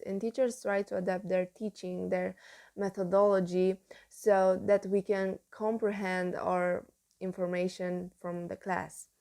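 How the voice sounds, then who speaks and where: A woman's voice: mid-range voice, darkish tone. A teenage girl from Romania with a Romanian accent, answering mock speaking-exam questions in a hesitant way, searching for words. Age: teens.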